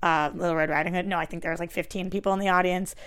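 Raspy-voiced woman, chatty and self-mocking. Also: on the low side, steady tone, racing, a bit bright. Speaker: podcast guest. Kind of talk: casual chat.

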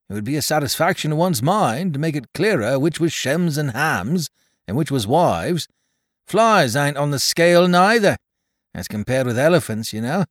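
A man's voice: a little high, no room sound, studio quality, voice pushed up. A man voicing a fussy, anxious little old toymaker in a read-aloud story. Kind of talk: storybook character voice.

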